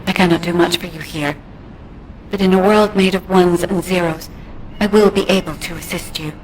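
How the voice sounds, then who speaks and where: Composed, lowish female digital guide, acted by a woman. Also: fairly even intonation, normal speed, a little mellow, lightly acted, poor audio. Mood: calm concern. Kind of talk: video game dialogue acting.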